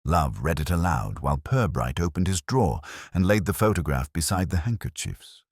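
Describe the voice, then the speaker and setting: A man narrates a mystery in a steady storytelling manner. His voice is extremely low and husky, with lively pitch movement. The sound is close and completely dry. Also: moderate pace, no echo.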